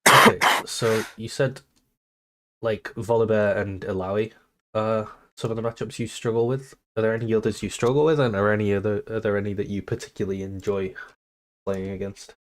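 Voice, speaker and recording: A British man with a fairly low voice, a bit nasal because he is coming down with something. He talks through a coaching session in an easy, casual way and lays out each step in order. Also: steady tone, normal speed, fairly bright tone. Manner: methodical, explains step by step. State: a little sick, nasal.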